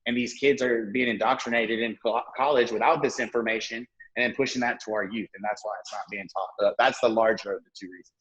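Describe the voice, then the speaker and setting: A man's voice: mid-range voice, steady tone, quick pace, hardly any pauses, fairly bright tone, poor audio. A man in his thirties from the Southern US, talking casually on a discussion panel, rambling with restarts and fillers. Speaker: panel guest.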